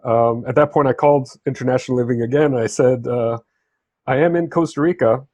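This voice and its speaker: A man's voice: mid-range voice, fairly quick, darkish tone, no room sound, muffled recording. A man who grew up in southern Spain talks conversationally as an interview guest, telling his own story in an easygoing, explanatory way. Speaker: interview guest, freelance writer and travel correspondent.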